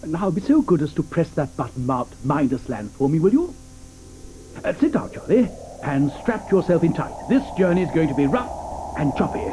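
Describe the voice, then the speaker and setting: An actor playing an eccentric, fussy male chocolate maker in an audio drama, talking theatrically with many hesitant pauses. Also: a little high, expressive, warm tone, a little hiss or hum, close to an everyday voice, low-quality recording. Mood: hurried, eager. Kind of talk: 1980s audio drama acting. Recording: studio audio drama with music behind.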